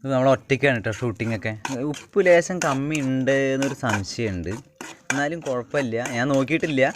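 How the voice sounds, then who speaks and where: A man's voice: mid-range voice, animated, brisk. Man rambling through cooking, clattering noisy background. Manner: rambling, repeats phrases as he works. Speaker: home cook and vlogger. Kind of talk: casual cooking demonstration to viewers. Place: home cooking spot with wood fire. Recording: clattering pans and clicks, noisy self-shot recording.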